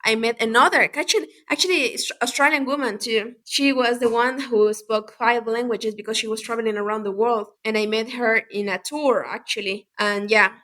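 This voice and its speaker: Bright-voiced woman. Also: a little high, normal speed, hardly any pauses. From Galapagos Islands, Ecuador. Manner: lively, many restarts and fillers. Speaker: guest. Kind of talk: casual interview talk.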